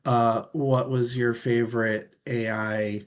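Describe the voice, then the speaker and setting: A man's voice: mid-range voice, flat delivery, slow. Male book-show co-host, mid-range voice, casual and enthusiastic delivery, unafraid to swear. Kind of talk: casual book chat, reading out listener comments.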